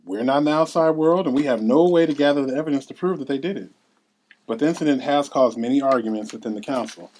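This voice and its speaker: A man's voice: medium pitch, quick pace, darkish tone, a little hiss or hum, close to an everyday voice, rough recording. A man reading the part of a solemn exiled council elder in an earnest, expository way at a script table read. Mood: grave, explanatory.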